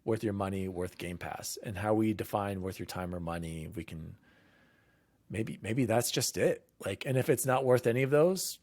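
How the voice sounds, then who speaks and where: A man with a fairly low voice runs a casual gaming podcast. He talks loosely and upbeat and laughs easily. The sound is dry and close, with no room around it. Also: moderate pace, darkish tone, no room sound. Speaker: podcast host.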